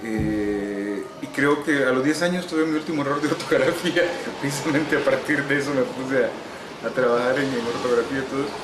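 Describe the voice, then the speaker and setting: A Salvadoran man in his sixties, a novelist, answers interview questions in an unhurried, wry way, often pausing on "eh". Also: medium pitch, roomy sound, over music, rough recording. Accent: Salvadoran Spanish. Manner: unhurried, wry, frequent "eh" pauses. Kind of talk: relaxed reminiscing interview answers.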